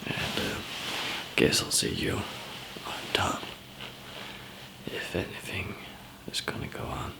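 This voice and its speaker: A man doing an improvised ASMR roleplay as a weary, easygoing survivor, in a breathy, hesitant, rambling murmur. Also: takes it slowly, long pauses, poor audio. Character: weary, easygoing male zombie apocalypse survivor.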